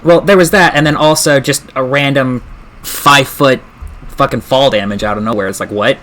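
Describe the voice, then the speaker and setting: An American man chatting casually during a game stream, wry and quick to swear. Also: mid-range voice, fairly bright tone, slight background noise. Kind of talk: casual chat while gaming on a live stream.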